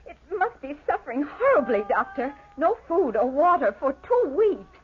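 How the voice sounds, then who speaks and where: A woman's voice: high voice, big pitch swings, normal speed. A woman playing a tender-hearted, troubled heroine in an old-time radio horror melodrama, with an emotional, theatrical delivery. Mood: distraught, pleading. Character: distressed, tender-hearted female sculptor in horror play. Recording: noisy, tinny vintage radio recording.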